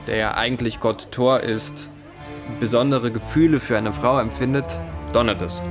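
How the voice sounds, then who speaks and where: A man plays a studio lighting technician in a radio drama. His medium-pitched voice explains things practically and plainly over clear music. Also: steady tone, moderate pace, natural voice. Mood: matter-of-fact. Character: matter-of-fact male film studio lighting technician.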